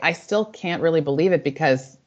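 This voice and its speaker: A woman in her forties with a deep, low voice, a native Spanish speaker, answers interview questions with warm, reflective storytelling. She often restarts her sentences as she thinks aloud. The audio is slightly dull and lo-fi, like a remote call. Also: a little fast. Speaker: author and guest.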